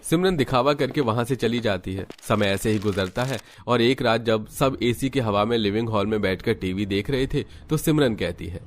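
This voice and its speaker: A man's voice: on the low side, moderate pace, few pauses. A man reading scripted narration for a cartoon story as the storyteller.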